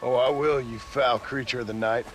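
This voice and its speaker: An actor playing a gruff, skeptical male cowboy in a video game, speaking in a dry, blunt, sarcastic way. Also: mid-range voice, fairly even intonation, normal speed, a little hiss or hum, lightly acted. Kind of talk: video game cutscene acting.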